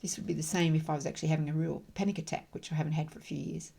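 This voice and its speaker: Gravelly-voiced woman guiding tapping affirmations. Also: sits low. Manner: repeats affirmation phrases slowly and rhythmically; calm, chatty explanations with fillers. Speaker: EFT tapping facilitator. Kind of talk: guided tapping demonstration.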